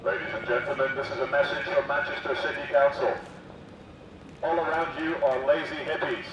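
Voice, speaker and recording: A man's voice: mid-range voice, fairly even intonation, moderate pace, background noise. A man playing a mock authoritarian city announcer through a megaphone, preaching obedience in a satirical public address. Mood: mock-official, preachy. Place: outdoor city plaza. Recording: echoing megaphone outdoors, far off, muffled.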